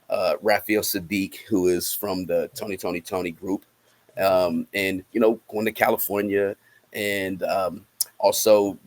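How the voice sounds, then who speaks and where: A man giving interview answers as a guest, repeating words as he goes and tagging phrases with "right?". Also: deep voice. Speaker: guest musician promoting a film project.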